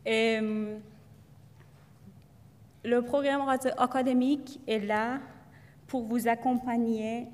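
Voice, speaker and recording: A woman's voice: a little high, fairly even intonation, slow, pauses often, darkish tone. Hesitant woman speaking in a hall. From Iran. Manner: hesitant, many fillers and restarts. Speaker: student speaker. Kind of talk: testimonial talk to an audience.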